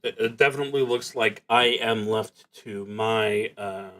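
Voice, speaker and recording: A man hosting a solo roleplaying stream, musing to himself in a halting, deliberate way as he plays. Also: lowish voice, a bit bright. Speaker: host of a solo roleplaying livestream. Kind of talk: thinking aloud while playing a solo tabletop game.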